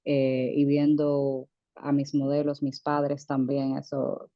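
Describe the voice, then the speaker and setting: A woman's voice: very deep voice, completely flat delivery, slow pace, no room sound, dull audio. A woman in her thirties, a dentist guest in an interview, telling her story with frequent hesitations and restarts. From United States, Dominican parents. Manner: hesitant, many fillers and restarts. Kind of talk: conversational interview.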